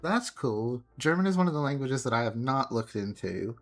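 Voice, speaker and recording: A man streaming himself drawing, chatting casually and softly, often trailing off mid-thought as he works. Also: medium pitch, animated, moderate pace. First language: English. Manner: soft, musing, trails off mid-thought while working. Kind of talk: casual chat while drawing. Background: faint background music.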